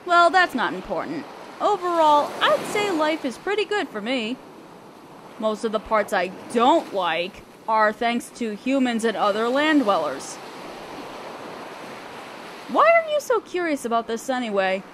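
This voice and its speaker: Girl acting as a prickly selkie sea creature, high lively voice, aloof but curious, hesitant, audio roleplay w ocean waves behind. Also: measured pace. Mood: guarded, suspicious. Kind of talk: scripted roleplay audio drama addressed to the listener. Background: surf, waves and wind sound effects.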